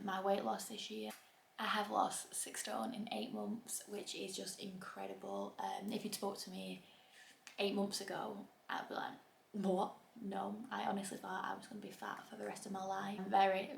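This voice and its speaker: A woman's voice: medium pitch, gravelly, echoey room, a little hiss or hum. A woman in her twenties with a Bolton accent, chatting casually to camera in a vlog, full of ums and self-deprecating asides. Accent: Bolton, Greater Manchester. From England. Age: 20s. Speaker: YouTuber.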